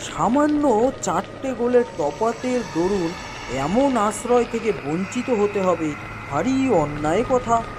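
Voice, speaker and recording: Slow, animated horror storyteller. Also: medium pitch, background noise. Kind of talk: expressive literary horror story narration. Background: background music.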